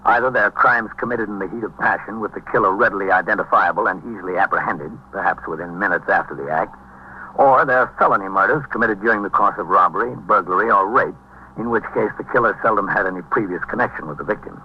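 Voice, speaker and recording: Casting: male actor as brisk, authoritative American precinct captain, dry and businesslike, on dull vintage radio. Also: fairly low pitch, lightly acted. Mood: sober. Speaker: lead actor and narrator of police drama. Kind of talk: 1950s radio drama acting and narration. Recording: dull, hissy 1950s radio recording.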